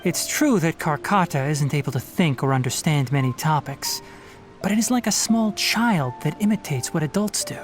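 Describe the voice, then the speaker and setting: An actor playing a calm, rational young ranger-scholar with a slightly high voice. He explains things in a measured, methodical way and turns firm when giving instructions. Faint game music runs beneath his lines. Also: close to an everyday voice. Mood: explaining. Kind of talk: studio game voice acting.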